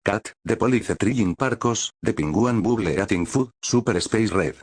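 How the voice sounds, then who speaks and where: A man's voice: lowish voice, lively intonation, normal speed, close to an everyday voice. A man voicing a formal cartoon news anchor reading a mock bulletin.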